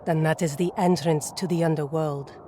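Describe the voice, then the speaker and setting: A woman voices a solemn warrior companion in a fantasy game cutscene. Her voice is a little low, measured and serious. Faint ambient noise sits behind it. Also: fairly even intonation, warm tone, close to an everyday voice. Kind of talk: video game cutscene acting.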